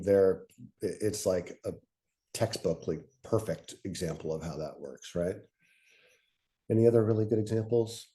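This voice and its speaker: A man co-presenting a webinar in an informal instructional way, thinking aloud in halting, broken-up phrases. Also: lowish voice, mellow. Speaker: co-presenter of a fiction podcast pitching webinar.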